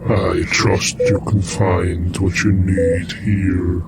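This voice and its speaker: A man's voice: low voice, little pitch movement, very slow, creaky, heavy background noise, exaggerated voice. A man voicing an ancient demonic entity that possesses a human host, speaking in solemn, archaic, commanding tones. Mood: menacing. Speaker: villain. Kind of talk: indie audio drama acting.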